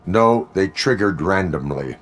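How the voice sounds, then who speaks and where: An actor playing a stern, dutiful cyborg policeman in a video game, speaking in terse, flat, deliberate sentences. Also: low-pitched. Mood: stoic.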